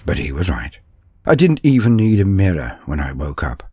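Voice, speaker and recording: A man playing an earnest, deferential young male apprentice, telling his story in a measured, confiding, introspective way. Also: low-pitched, big pitch swings, a little mellow, slightly rough, no echo, clean studio sound, exaggerated voice. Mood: confiding, wondering. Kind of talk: first-person dramatic storytelling.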